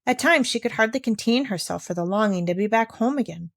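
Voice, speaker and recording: A woman reads a children's story aloud as narrator. Her voice is on the high side. The recording is dry, with very little room around it. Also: animated, fairly quick, vocal fry, no echo. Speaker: audiobook narrator.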